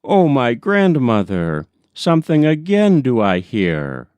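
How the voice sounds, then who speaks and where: Man acting a jumpy, urgent grandson, animated pitch. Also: takes it slowly, smooth, no room sound. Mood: anxious. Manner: urgent, exclaiming. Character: jumpy, fearful grandson hero of a folk tale.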